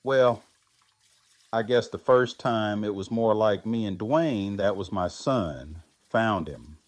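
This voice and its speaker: A man acts out a widowed survivor, haunted and reflective. He speaks very slowly and haltingly, in a subdued way, recorded close and dry for audio drama. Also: fairly low pitch, no room sound. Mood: reflective storytelling. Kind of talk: fan audio drama acting.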